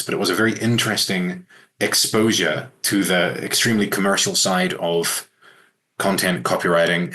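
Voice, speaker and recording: A middle-aged man with a New Zealand accent, telling stories as an author guest in a relaxed, self-deprecating way. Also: deep voice. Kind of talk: conversational interview.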